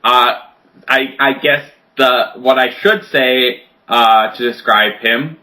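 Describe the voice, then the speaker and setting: A man's voice: mid-range voice, fairly even intonation, slow pace, pushed and bright, low-quality recording. A man of twenty chatting as a podcast guest, crude and joking, often laughing as he talks. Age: 20s. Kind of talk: casual chat.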